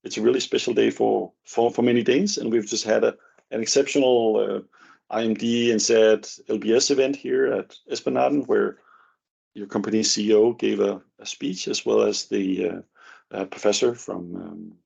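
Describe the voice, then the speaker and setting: A man's voice: lowish voice, normal speed, vocal fry, darkish tone, husky. A man hosting a podcast interview in an office, hesitant with many fillers and repeated words. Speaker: podcast host. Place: office overlooking the harbour. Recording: dull lo-fi sound.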